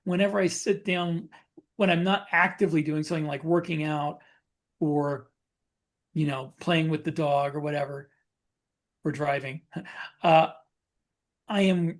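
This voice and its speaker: A man talking casually as a podcast host, informal and given to repeating points for emphasis. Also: a little high.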